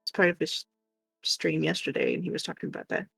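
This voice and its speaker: A woman's voice: fairly low pitch, huge pitch swings, a little fast, creaky, a bit bright, husky, no echo, faint music behind. An American woman co-hosting a livestream, chatting casually with viewers. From United States. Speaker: livestream co-host.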